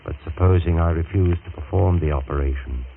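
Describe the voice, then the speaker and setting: Menacing middle-aged doctor, low voice, measured and suave, old radio drama, hissy narrowband recording. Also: male voice. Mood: bitter, menacing. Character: smooth, vindictive middle-aged doctor, a jilted former suitor. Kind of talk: old-time radio thriller acting. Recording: tinny, noisy vintage radio recording.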